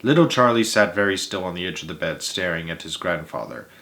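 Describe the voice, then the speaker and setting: A man's voice: sits low, a little fast. Man reading a children's storybook aloud. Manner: expressive, with occasional stumbles over words. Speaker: narrator reading aloud, channel host.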